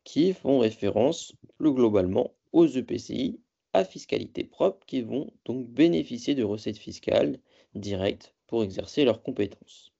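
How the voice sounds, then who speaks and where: A man presenting a training lecture from slides, explaining in a halting, semi-improvised way and often correcting himself. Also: mid-range voice, animated, vocal fry, warm tone, husky, dull audio. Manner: halting, self-correcting delivery. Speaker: presenter of a training course on local government.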